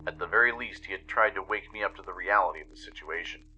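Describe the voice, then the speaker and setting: Forceful, low-voiced male story narrator. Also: fast, lo-fi sound, faint music behind. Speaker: narrator and radio show host. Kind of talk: dramatic scripted story narration.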